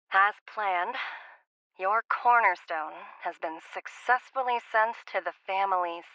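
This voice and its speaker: A woman playing a composed, formal subordinate in a video game, speaking in a measured, detached way. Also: mid-range voice, sharp tone, no room sound, muffled recording. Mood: cool, composed. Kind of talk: cinematic game voice acting.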